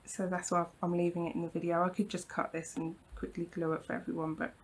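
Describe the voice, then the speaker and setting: Woman, croaky from hay fever, husky, low voice, chatty reassuring craft tutorial, frequent ums. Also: flat delivery, fast. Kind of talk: casual hands-on craft demonstration.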